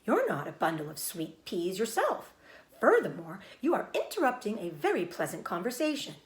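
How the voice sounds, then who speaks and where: A woman reading a children's storybook, voicing a motherly female spider in a measured, comforting and firm manner. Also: medium pitch, wildly swooping pitch, reverberant. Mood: sharp. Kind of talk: children's storybook read-aloud.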